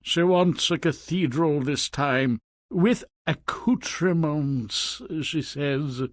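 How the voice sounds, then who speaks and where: An actor voicing a weary, henpecked, sardonic fisherman in a fairy-tale video game, with a husky voice. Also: on the high side, animated, slow, no echo, clean studio sound. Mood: weary, resigned.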